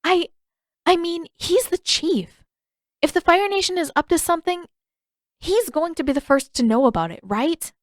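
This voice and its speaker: Female reader as headstrong teen heroine for fantasy storybook: high-pitched, exasperated, emphatic, quick to argue, dry sound. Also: animated, no echo. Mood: flustered. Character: fiery, indignant, defiant teenage girl waterbender. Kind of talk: audiobook-style fan fiction character reading.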